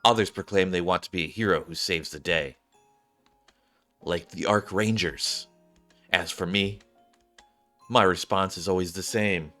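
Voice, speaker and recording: Game narrator: earnest young dreamer acted out by a man, wistful storytelling, low-pitched, music behind. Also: steady tone, unhurried, long pauses, sharp tone. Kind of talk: read-aloud scripted game narration.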